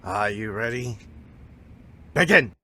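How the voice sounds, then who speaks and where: Man acting elderly male master, deep voice, husky, deliberate, musing, formal, audio drama. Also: lively intonation, sharp tone, heavily acted. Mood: commanding.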